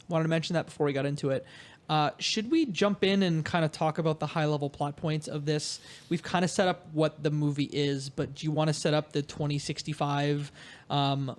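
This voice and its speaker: A man hosting a podcast, guiding a casual review conversation. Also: on the high side. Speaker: podcast host.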